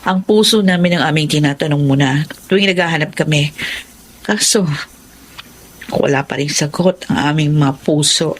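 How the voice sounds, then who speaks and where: A woman's voice: sits low, normal speed, long pauses. A woman playing a wistful longing wife. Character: Isabel, gentle childless wife longing to adopt. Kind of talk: radio drama acting.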